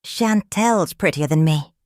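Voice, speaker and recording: A woman's voice: deep voice, expressive, a little slow, darkish tone, no room sound, clean studio sound. A woman voicing a sulky, jealous young hen in a children's storybook, grumbling and petulant. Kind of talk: storybook character reading.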